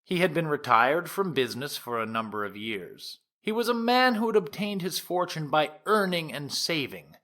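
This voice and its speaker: An actor playing an earnest, grave prosecutor in a courtroom audio drama, addressing the jury in emphatic, deliberate, formal oratory. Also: male voice, on the high side, sing-song, bright, forceful tone. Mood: measured, explanatory.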